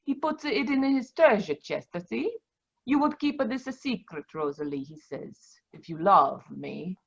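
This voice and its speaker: A woman playing a scornful witness in a 1940s-style radio drama, halting and theatrical, heard over dull video call audio. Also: medium pitch, big pitch swings, creaky, breathy edge, full character voice. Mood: dramatic recounting.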